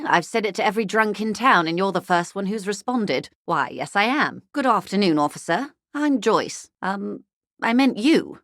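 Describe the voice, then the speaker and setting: Wealthy businesswoman, lowish voice, dry close recording, polite, measured, wryly ironic, video game dialogue. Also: a bit bright, no room sound. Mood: businesslike, polite. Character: poised, wry, wealthy bourgeois businesswoman, company negotiator. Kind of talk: scripted game character dialogue.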